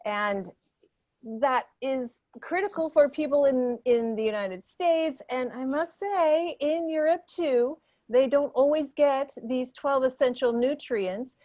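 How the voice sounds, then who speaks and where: Woman acting high-pitched pompous female expert. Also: darkish tone. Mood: smugly conceding. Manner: pompous, halting, emphatic. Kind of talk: improvised mock panel debate.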